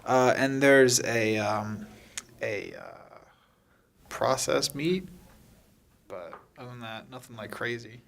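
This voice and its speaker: A man runs a tabletop game in a medium-pitched voice. He narrates casually, piecing descriptions together haltingly as he goes. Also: gravelly, a bit bright. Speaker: game master of a tabletop podcast.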